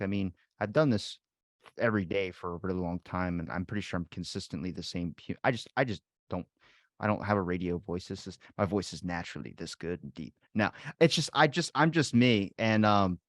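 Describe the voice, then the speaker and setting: A man's voice: lowish voice, no echo, clean studio sound. A man hosting a podcast who rambles and restarts his sentences, joking at his own expense as he asks questions. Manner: rambling, self-deprecating, many restarts. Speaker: podcast host. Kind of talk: casual interview chat.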